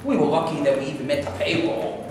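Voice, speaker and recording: A man's voice: on the high side, quick pace, natural voice. A man playing a defensive, hard-nosed movie studio boss in a staged reading, speaking emphatically and matter-of-factly. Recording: echoey live room, distant camera, noisy.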